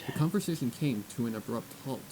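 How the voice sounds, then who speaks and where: A man's voice: mid-range voice, animated, fast, dark tone. Man narrating a story over noisy audio.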